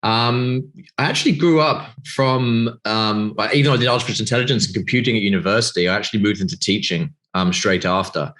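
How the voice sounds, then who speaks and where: A man in his forties with a British accent, talking from a video call in a fairly low voice. He rambles through his answers, doubling back and filling gaps with ums. It sounds like a loose, unscripted chat. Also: fairly quick, fairly bright tone. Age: 40s. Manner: rambling, many fillers and restarts. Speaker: game studio CEO. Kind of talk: casual interview answers.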